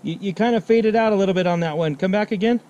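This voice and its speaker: High-pitched American man, noisy outdoor recording. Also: dull audio. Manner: halting, laid-back, repeats phrases. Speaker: amateur radio operator. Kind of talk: casual radio contact chat. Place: outdoors at a picnic table on a mountain.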